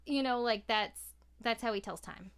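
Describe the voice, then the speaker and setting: A woman's voice: fairly high pitch, normal speed, fairly bright tone, clean tone. A woman chatting casually as a podcast guest, telling anecdotes in a chatty, storytelling way.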